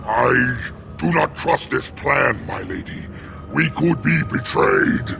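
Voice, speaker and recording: A man voicing a grave, loyal werewolf servant in a fantasy game, with a growling, solemn, halting delivery. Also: mid-range voice, expressive, gravelly, heavily acted. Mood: distrustful. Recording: music behind the voice. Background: game music.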